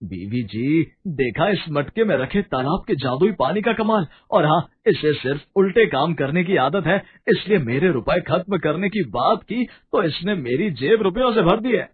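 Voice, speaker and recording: A man playing an excitable poor village husband in a dramatized story, with theatrical exclamations. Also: on the high side, moderate pace, hardly any pauses, slightly rough, full character voice. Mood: boastful. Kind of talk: dramatized Hindi moral story acting. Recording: dull, muffled audio under music.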